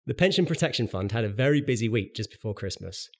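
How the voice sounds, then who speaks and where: A man's voice: medium pitch, animated, fast talker, gravelly, heavy background noise. A man hosting a podcast, reading a scripted pensions news update with dry, wry asides, sounding a little bunged up from a cold. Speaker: podcast host.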